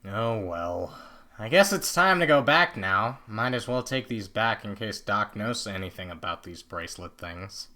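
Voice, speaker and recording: A man playing a cocky, excitable young creature scavenger in an animated comic dub, talking to himself and exclaiming freely. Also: lowish voice, a little slow. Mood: let down. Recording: music behind the voice.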